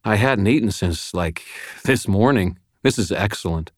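A man's voice: on the low side, expressive, moderate pace, no echo. A man playing a dry, tough, wisecracking detective in an audiobook, with a casual, sardonic way of talking. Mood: playful.

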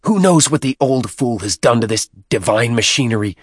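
A man's voice: mid-range voice, lively intonation, normal speed, slightly rough, dry recording, clean studio sound. Man playing an irate, spitting alien minister. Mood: contemptuous anger. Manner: spitting, indignant, forceful. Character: irate, accusing male alien vice minister. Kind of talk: audiobook character voice.

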